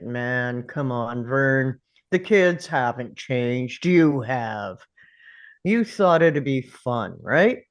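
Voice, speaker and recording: A woman puts on a laid-back male janitor for a film script read. The voice sits low and takes its time, blunt, casual and unimpressed.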